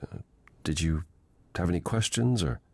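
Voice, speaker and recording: An actor playing a gentle, melancholy god in a video game, speaking in a measured, formal and earnest way. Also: male voice, deep voice, creaky, no echo. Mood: thoughtful. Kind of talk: video game dialogue acting.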